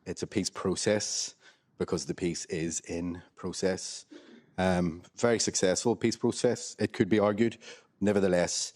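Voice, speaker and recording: A Northern Irish man speaks in a low, deep voice, lecturing at a measured pace. He is a professor talking on a panel, pausing often with ums. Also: fairly even intonation, a little mellow. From Northern Ireland. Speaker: history professor. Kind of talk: academic panel talk.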